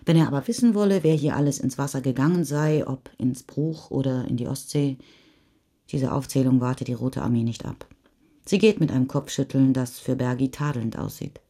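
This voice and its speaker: An actress playing the sober, measured narrator of a post-war chronicle in a German radio play. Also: female voice, rumbling low, warm tone. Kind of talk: literary reading in a radio play.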